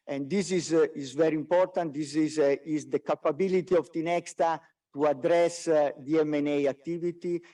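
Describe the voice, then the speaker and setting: Halting non-native man, distant mic. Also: fairly high pitch, dark tone. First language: not English. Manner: halting, frequent hesitations and repeated words. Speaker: company executive presenting results. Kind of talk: business presentation on a conference call. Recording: distant mic, conference call audio.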